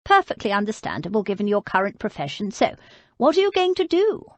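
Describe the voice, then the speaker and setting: A woman voicing a frail, proud, sharp-tongued elderly aristocratic lady with a haughty, querulous manner. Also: mid-range voice, sing-song, fairly quick, no echo, natural voice. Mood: sharp, wry.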